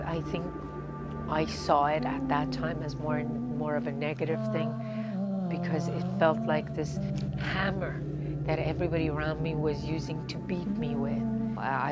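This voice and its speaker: A woman who grew up in Iran speaks in a low register. She gives reflective, personal interview testimony, with music playing prominently underneath. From Tehran, Iran.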